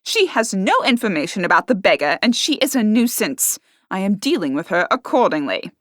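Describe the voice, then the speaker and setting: A woman voicing a proud young pirate captain who speaks in a crisp, formal, indignant way. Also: medium pitch, sing-song, fairly quick, a bit bright, no room sound, studio quality. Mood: stern.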